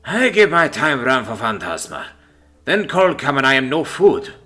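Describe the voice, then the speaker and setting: A man voicing a wary, haunted military officer with a croaky voice and halting, broken English in a Greek accent. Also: medium pitch, very animated, sharp tone, faint music behind. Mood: suspicious, confused. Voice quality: croaky from disuse. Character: wary, haunted stranded male major, gruff and suspicious.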